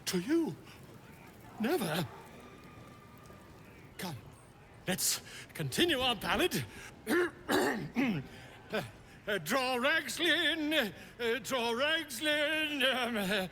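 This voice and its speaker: A male actor playing a flustered bard in a fantasy game, stammering and clearing his throat through a ballad recital. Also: very high voice, big pitch swings, very slow, pauses often, pushed and bright, a little hiss or hum, exaggerated voice. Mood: nervous, halting. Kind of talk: fantasy game character acting.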